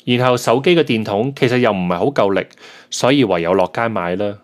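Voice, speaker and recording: A man's voice: on the low side, fairly quick, lightly acted. A man playing a suspicious tenant who narrates his own investigation in a Cantonese radio drama, with a Hong Kong accent. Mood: animated. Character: suspicious male tenant nervously investigating a hidden camera.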